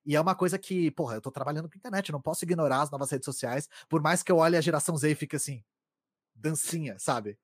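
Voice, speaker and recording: A young man who grew up around São Paulo rattles along in quick, talkative bursts, his voice sitting a little high for a man. The sound is close and dead dry, with no room at all. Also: no room sound. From Greater São Paulo, Brazil. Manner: talkative, fast, animated storytelling. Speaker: online content creator and streamer. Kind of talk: casual conversation.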